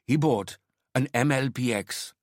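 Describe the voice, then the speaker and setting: A man's voice: medium pitch, measured pace, dry recording, lightly acted. An elderly Indian shopkeeper, acted out by a male audiobook narrator, courteous and proud of himself. He talks at length in an Indian accent, eager to help, in a dry recording. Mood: knowing, talkative. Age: 60s. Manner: deferential, talkative, proud. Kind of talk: audiobook character acting.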